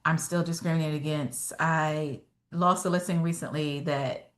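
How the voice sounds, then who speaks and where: A woman real estate agent and trainer answering podcast interview questions in a steady, self-assured way, explaining step by step with fillers. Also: sits low, normal speed. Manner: firm and self-assured about her own voice.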